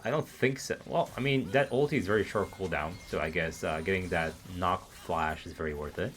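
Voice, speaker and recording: Deep-voiced man casually casting esports. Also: brisk, slight background noise. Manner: relaxed, laughs often, chatty. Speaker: esports commentator and streamer. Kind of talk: casual live esports commentary.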